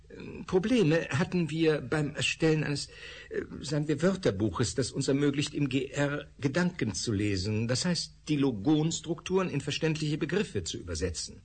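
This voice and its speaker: A man's voice: on the high side, a little hiss or hum, lightly acted. An actor playing a male scientist who lectures with hesitant fillers in a radio drama. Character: male scientist explaining thought particles.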